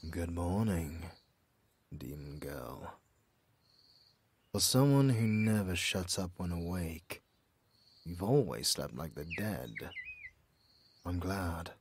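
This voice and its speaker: Man acting a low, grave demon hunter. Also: animated, slightly rough, full character voice. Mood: grave, weary. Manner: measured, grave, slow-spoken. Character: stern, weary, watchful male demon hunter mentor. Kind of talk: fantasy audio roleplay acting.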